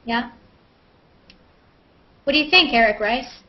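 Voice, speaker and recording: A woman's voice: a little high, lively intonation, slow. A woman chatting casually and playfully as she hosts a live question-and-answer video call. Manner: playful, joking, self-mocking. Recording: muffled, lo-fi internet video call.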